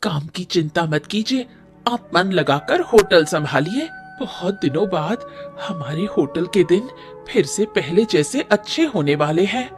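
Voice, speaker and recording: A woman voicing a caring village wife in a cartoon story, gentle and encouraging. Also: lowish voice, animated, a little slow, faint music behind.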